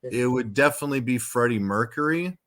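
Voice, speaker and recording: A man with a very deep voice, a rock singer guesting on a podcast, talking in a relaxed, rambling way with many restarts. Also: brisk. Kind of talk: casual chat.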